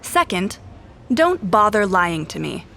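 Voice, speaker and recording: An actress playing a blunt, distrustful special agent in a noir audio drama, narrating and confronting in terse lines. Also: female voice, medium pitch, sing-song, slow pace, vocal fry, sharp tone, slight background noise, heavily acted. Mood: confrontational. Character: hard-boiled, distrustful female special agent. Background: background music.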